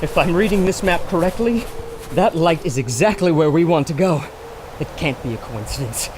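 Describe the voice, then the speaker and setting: An actor playing a resolute, level-headed expedition leader, earnest and dramatic in a pulp radio adventure. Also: male voice, on the high side, animated, normal speed, close to an everyday voice. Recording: loud storm and ambient noise.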